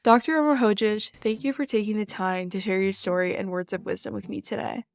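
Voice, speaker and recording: A woman's voice: medium pitch, fairly even intonation, brisk, gravelly, dry recording. A teenage girl from New York City, a student reporter asking a guest admiring, partly scripted interview questions. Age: teens.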